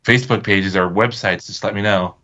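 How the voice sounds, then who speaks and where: A man's voice: lowish voice, steady tone, fairly quick, bright, forceful tone. A man in a casual group chat, explaining things in a hesitant, informal way, over dull lo-fi call audio. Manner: hesitant, explanatory, repeats kinda. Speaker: amateur voice actor.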